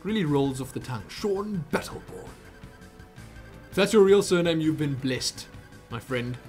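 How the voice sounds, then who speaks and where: A young South African man hosts a casual Q&A, talking in loose, rambling runs that trail off and restart. His voice sits fairly high for a man. Faint music plays underneath. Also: lively intonation, a little slow, darkish tone. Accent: South African English. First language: Afrikaans. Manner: chatty, rambling, self-interrupting. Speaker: YouTube Q&A host. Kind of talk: casual viewer Q&A.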